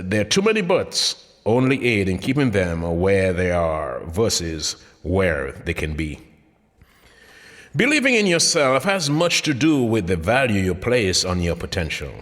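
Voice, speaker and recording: A man reading his own book aloud in a sermon-like cadence, phrases rising then dropping low, with occasional "uh" fillers. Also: fairly bright tone, in a large hall. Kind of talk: audiobook narration.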